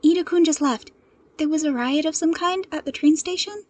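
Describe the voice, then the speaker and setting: Woman acting an earnest, anxious boy strategist, high-pitched. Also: a little mellow, close to an everyday voice. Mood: focused, urgent. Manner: hesitant stammer turning to brisk instructions.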